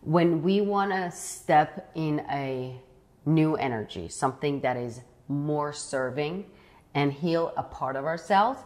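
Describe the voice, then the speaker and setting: A young woman from Iran hosting an interview, animated and often restarting as she searches for words, recorded on a lapel mic in a living room. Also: deep voice, takes it slowly. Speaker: interview host. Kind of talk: conversational interview. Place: bright living room indoors.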